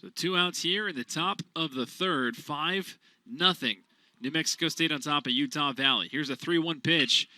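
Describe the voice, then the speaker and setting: A man calling a college baseball game as radio play-by-play announcer, steady between pitches and rising loud on big plays. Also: moderate pace, no room sound.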